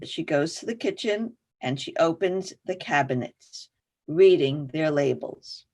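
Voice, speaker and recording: A woman's voice: sits low, animated, unhurried, a little mellow. A woman narrator reading stage directions for a play in scripted narration.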